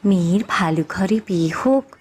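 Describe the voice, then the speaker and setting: A woman voicing a kindly, knowing fairy in a cartoon, speaking in a measured, reassuring way. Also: lowish voice, animated, darkish tone, slightly rough, voice pushed down. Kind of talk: fairy-tale cartoon dialogue.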